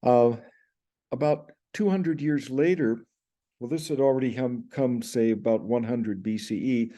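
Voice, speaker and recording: A man's voice: mid-range voice, a little slow, warm tone. An older man teaching Greek pronunciation in an explanatory lecture, speaking to a webcam indoors. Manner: explanatory, gestures with hands, recites Greek sounds.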